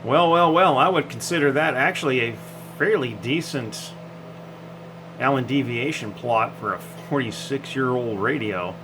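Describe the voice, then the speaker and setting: A man's voice: medium pitch, measured pace, fairly bright tone, a little hiss or hum. A man giving a casual, off-the-cuff technical demonstration in a folksy, hesitant way, thinking aloud as he goes. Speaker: hobbyist demonstrator.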